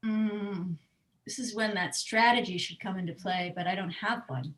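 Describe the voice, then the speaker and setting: A woman's voice: mid-range voice, moderate pace, a bit bright, poor audio. A woman from the US Midwest chatting casually as a game show contestant on a video call. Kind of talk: casual unscripted chat.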